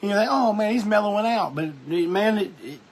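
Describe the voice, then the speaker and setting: A man's voice: high-pitched, expressive, a little fast, slight background noise, low-quality recording. A man hosting a music show, talking casually and enthusiastically about records, rambling with lots of restarts.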